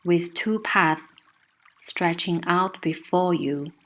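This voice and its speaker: Slow, gentle woman guiding a meditation. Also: fairly low pitch. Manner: gentle, soothing, unhurried instruction. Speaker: meditation guide. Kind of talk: guided meditation narration.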